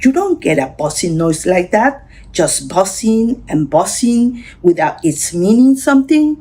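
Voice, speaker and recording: A woman's voice: mid-range voice, sing-song, measured pace, warm tone, husky. A woman voicing a simple, honey-loving toy bear in a storybook, musing earnestly and repetitively. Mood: puzzled musing.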